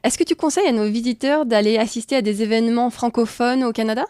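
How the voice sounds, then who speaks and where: A woman's voice: a little high, fast talker, a little mellow, clear, resonant tone, no echo, clean studio sound. A woman co-hosting a travel podcast, making conversational remarks and asking questions. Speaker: podcast co-host.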